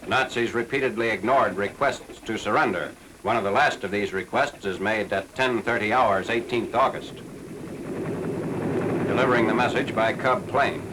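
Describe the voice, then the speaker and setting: A man's voice: fairly low pitch, moderate pace, noisy background. An American man reading scripted military newsreel narration in a formal, clipped, matter-of-fact way on a 1940s film soundtrack.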